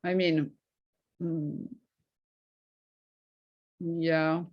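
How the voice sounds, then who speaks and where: A middle-aged woman physicist with a non-native English accent, answering questions in a halting way full of fillers and light laughs. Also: deep voice, level tone, dull audio. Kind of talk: informal seminar Q&A.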